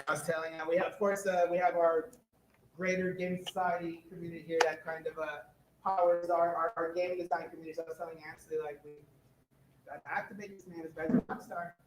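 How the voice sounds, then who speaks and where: An upbeat man emcees a conference stage, chatty and full of fillers. His voice sits high for a man. The hall rings with echo and a faint hiss sits underneath. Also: little pitch movement, quick pace. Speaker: event host. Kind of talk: casual event emceeing. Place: conference stage, echoey room.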